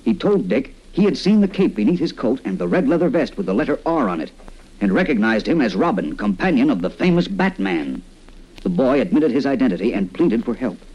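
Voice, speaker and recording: Guy announcer, old 1940s radio narration, dramatic swoopy delivery, husky, dull recording. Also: medium pitch, sing-song, fairly quick, a little mellow.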